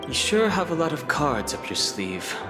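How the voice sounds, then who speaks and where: A man's voice: fairly high pitch, very animated, moderate pace, loud music behind the voice. A man voicing a level-headed young man who speaks in a terse, matter-of-fact way. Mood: measured. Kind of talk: video game voice acting.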